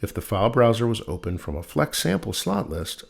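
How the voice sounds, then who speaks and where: A man reading scripted technical text in a soothing, measured voice with deliberate pauses, as the host of a sleep-aid reading. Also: on the low side, big pitch swings, creaky.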